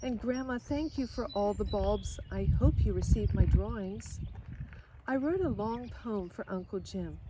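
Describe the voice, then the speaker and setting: A woman reading a children's picture book aloud in an expressive way, now and then speaking directly to her listeners. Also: medium pitch, very noisy. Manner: expressive, pausing for short asides to listeners. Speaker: storybook reader.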